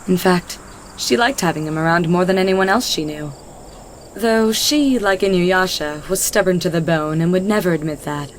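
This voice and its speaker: A woman's voice: on the low side, moderate pace, a little hiss or hum. A woman narrator reading a scripted story in the third person.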